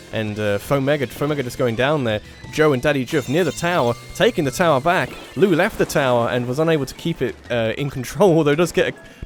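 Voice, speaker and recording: A man casually commentating an online match he organised, slightly nasal, rambling and correcting himself as he calls the play. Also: medium pitch. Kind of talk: casual live game commentary.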